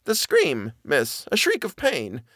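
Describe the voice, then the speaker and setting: Brief: male reader doing a jittery middle-aged housekeeper, high and halting, dry close sound. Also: huge pitch swings, a bit bright, dry recording, exaggerated voice. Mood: fearful. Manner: halting, stammering, plain servant speech. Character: frightened old nanny, a middle-aged housekeeper woman.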